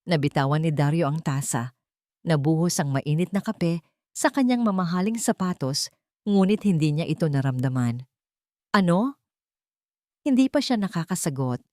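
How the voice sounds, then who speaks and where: A woman narrator with a Filipino accent reads a dramatic audiobook story. Her voice sits a little low, and the recording is dry, with very little room sound. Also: expressive, normal speed, mellow, no echo.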